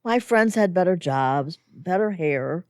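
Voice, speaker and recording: A woman's voice: fairly low pitch, expressive, unhurried, a little mellow, dry recording, studio quality. An actress playing a bewildered woman who has just died, pleading and defending herself, in a community audio drama. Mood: defensive. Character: newly dead, bewildered middle-aged woman.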